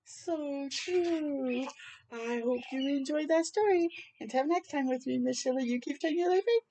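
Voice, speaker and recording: An older woman hosting a children's storytime, reading a rhyming picture book aloud in a dramatic, deliberate way with brief asides. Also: high voice, warm tone, low-quality recording. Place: outdoors on a porch.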